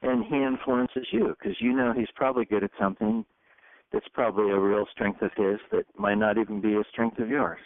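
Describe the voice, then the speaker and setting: Deep-voiced man coaching over tinny phone line. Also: fairly quick, no echo. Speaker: facilitator and leadership coach. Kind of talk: hosting a group coaching conference call. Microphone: telephone.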